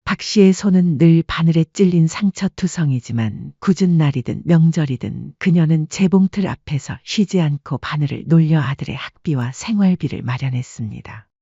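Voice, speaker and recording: A man narrating a family story in a calm, measured scripted storytelling style. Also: on the high side, breathy edge, no echo, lo-fi sound.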